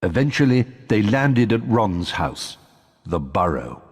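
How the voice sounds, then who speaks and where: A British man playing a measured storyteller who narrates a wizard tale for a video game. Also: on the low side, very animated, husky, long reverb tail, exaggerated voice. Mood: storytelling.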